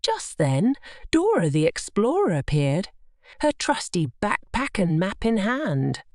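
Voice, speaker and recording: A woman's voice: mid-range voice, wildly swooping pitch, slow, darkish tone, no room sound, studio quality. A woman narrating scripted bedtime stories for children as a storyteller.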